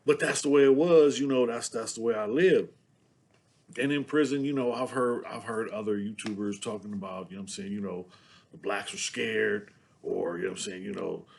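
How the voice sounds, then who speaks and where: A man's voice: lowish voice, gravelly. A middle-aged man from San Diego with a Southern-sounding accent, talking to camera in a loose, rambling monologue full of filler tags. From San Diego, California. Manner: rambling, loaded with "you know what I'm saying" tags. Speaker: vlogger.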